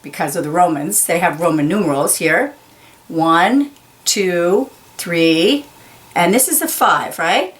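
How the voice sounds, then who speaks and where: A woman reading a children's picture book aloud, carefully stressing key words and explaining them simply. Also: fairly low pitch. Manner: slow, deliberate, enunciates key words for young listeners.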